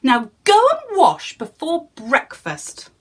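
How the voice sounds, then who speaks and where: A woman's voice: wildly swooping pitch, slow, exaggerated voice. A woman doing a fussy scolding giantess. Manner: high scolding.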